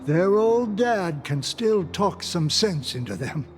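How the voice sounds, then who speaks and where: An actor playing a gentle, forgetful old father in a game, with a halting, wistful delivery. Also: sits high, big pitch swings, heavily acted. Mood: kindly, wistful. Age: older. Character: gentle elderly father, forgetful and doting, grieving. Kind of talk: dramatic game voice acting. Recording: music behind the voice. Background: clear background music.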